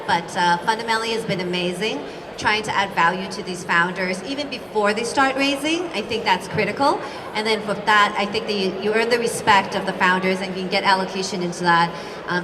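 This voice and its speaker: Woman panelist, distant and noisy recording. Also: mid-range voice. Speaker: venture investor and panel moderator. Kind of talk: informal panel introduction. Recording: distant mic, noisy room.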